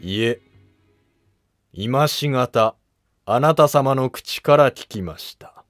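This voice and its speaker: A man plays a wily minister of the old court in a cartoon history drama. He is guarded and evasive, with formal, measured phrasing. The sound is dry, with quiet music behind. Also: medium pitch, no room sound.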